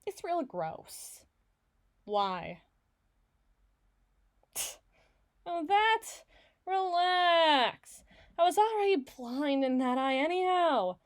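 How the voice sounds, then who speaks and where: A woman's voice: very high voice, big pitch swings, slow pace, exaggerated voice. A woman playing a foul-mouthed, stubborn villain in an audio roleplay, blustering and sarcastic with her partner. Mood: brusque, dismissive. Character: brash, foul-mouthed, hot-tempered villain woman, gruffly soft on partner. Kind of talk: ASMR roleplay voice acting.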